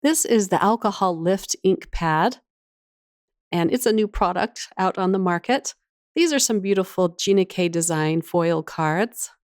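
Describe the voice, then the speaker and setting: Female craft-tutorial host, lowish voice slightly off from a cold, casual and enthusiastic, very dry voice-over sound. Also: expressive, unhurried, dry recording.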